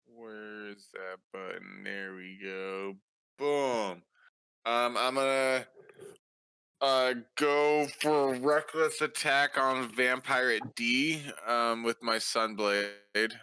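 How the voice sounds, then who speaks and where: A man's voice: mid-range voice, fairly even intonation, very slow, sharp tone, smooth, dry recording. A man playing a tabletop game, talking casually as he calls his moves.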